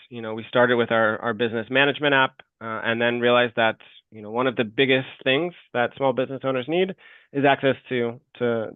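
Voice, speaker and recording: A man's voice: mid-range voice, clear, resonant tone, dry recording. American man, webinar Q&A, hesitant with lots of fillers, tinny call audio. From United States. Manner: hesitant, many fillers and restarts. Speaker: lender representative answering questions. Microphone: video call.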